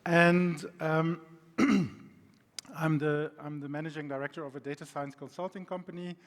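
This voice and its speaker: A man's voice: on the high side, steady tone, normal speed. A middle-aged man from Belgium with a Belgian accent, giving a conference presentation with frequent ums and restarts. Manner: hesitant, many ums and restarts. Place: conference hall. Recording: long hall reverb.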